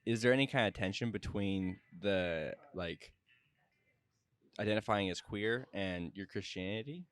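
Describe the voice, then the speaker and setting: Tentative young man with a lowish voice. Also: unhurried, clear, resonant tone, no echo. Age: young adult. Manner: tentative, hesitant questioning. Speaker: podcast host and interviewer. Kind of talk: casual conversational interviewing. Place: small indoor lounge, on a leather couch. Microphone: close podcast microphone with headphones.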